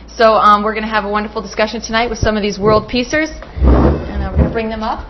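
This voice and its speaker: A woman's voice: mid-range voice, big pitch swings, fast, heavy background noise. A woman hosting a local TV show, speaking enthusiastically and a little preachily about her topics. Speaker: TV host. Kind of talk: casual hosting. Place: small studio with green screen. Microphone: desk mic on stand. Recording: muffled studio audio.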